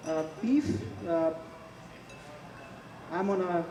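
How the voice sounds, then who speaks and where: A man in his forties with an Indian accent, a chef giving a casual live cooking demonstration in a chatty, halting way. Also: high voice, crowd noise. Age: 40s. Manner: chatty, informal, halting delivery. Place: large echoey event space before an audience. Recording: echoey, noisy public hall, distant mic.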